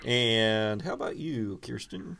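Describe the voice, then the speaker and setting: A man runs a tabletop roleplaying game in a casual, improvised way. He speaks at a slow pace in a mid-range voice, often hesitating as he thinks aloud. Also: medium pitch, lively intonation, gravelly, pushed and bright. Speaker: game master.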